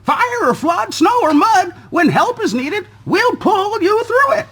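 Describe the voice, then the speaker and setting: A man's voice: very high voice, very animated, unhurried, fairly bright tone, voice pushed up, exaggerated voice. A man voicing a bouncy, eager kangaroo rescuer from a storybook, chirping in rhythmic rhyme. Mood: eager, upbeat. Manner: chirpy, rhythmic rhyming delivery. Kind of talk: storybook character voice.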